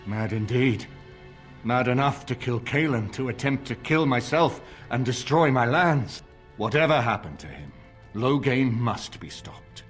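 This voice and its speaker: An actor playing a grave, authoritative middle-aged lord in a video game, speaking in a formal, measured way with a husky voice. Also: male voice, medium pitch, expressive, soft background music, lightly acted. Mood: stern, resolute. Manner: formal, measured, stately delivery. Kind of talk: dramatic video game voice acting.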